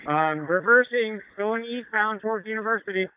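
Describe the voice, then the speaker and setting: A man's voice: sits high, normal speed, clear, resonant tone, no echo. A man, a police officer, calling in a pursuit over two-way radio in urgent, clipped phrases. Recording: noisy narrow-band police scanner radio.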